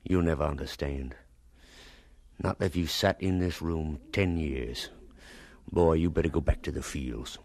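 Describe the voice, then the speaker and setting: An actor playing a bitter, sarcastic schoolmaster in a radio drama, scolding in a theatrical, sardonic manner. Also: male voice, a bass voice, a little slow, long pauses, a little mellow, no echo, clean studio sound, exaggerated voice. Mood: mocking. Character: bitter, sarcastic male schoolmaster, scornful and weary.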